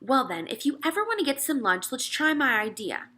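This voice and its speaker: A woman's voice: high-pitched, big pitch swings, brisk, bright, forceful tone, pitched above the performer's own voice, exaggerated voice. A woman voicing a no-nonsense storybook mother who talks firmly and coaxingly, as if reasoning with a child. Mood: persuading. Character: no-nonsense mother of two young boys, firm, coaxing.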